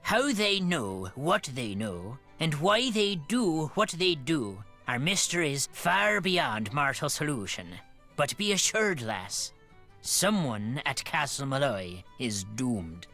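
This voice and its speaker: Portentous old Irish village woman, swooping low voice. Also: big pitch swings, slow pace, fairly bright tone, faint music behind, heavily acted. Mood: ominous. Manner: folksy, portentous storytelling. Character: old doom-saying Irish village woman, blunt and cryptic. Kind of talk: video game character dialogue.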